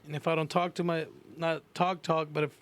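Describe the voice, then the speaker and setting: A man's voice: a little high, flat delivery, a little fast, a bit bright, clean tone. A man in a slangy, casual podcast chat, blunt and sweary, repeating phrases to make his point. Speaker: podcast co-host.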